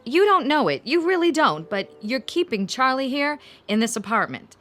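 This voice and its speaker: An actress playing a bossy, practical grown daughter in a radio drama, firm, emphatic and no-nonsense. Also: female voice, high-pitched, very animated, moderate pace, fairly bright tone, soft background music. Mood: stern, insistent.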